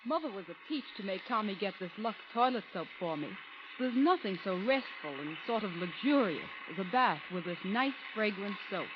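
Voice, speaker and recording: A woman's voice: mid-range voice, expressive, normal speed, natural voice. Woman playing perky young american secretary, bouncy intonation, old radio ad, muffled with some noise. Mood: gushing. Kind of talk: 1930s radio ad acting.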